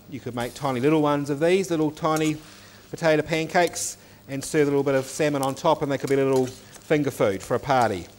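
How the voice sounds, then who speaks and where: Chef demonstrating in a kitchen. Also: male voice, a little high, a little hiss or hum. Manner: explaining step by step while cooking. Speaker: cooking teacher. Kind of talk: cooking demonstration. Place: teaching kitchen studio. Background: oil sizzling in a pan.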